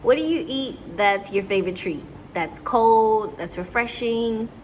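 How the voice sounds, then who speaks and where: A woman teacher hosting a children's storytime, speaking to young listeners and caregivers in an animated, encouraging way. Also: on the high side, unhurried, a little hiss or hum.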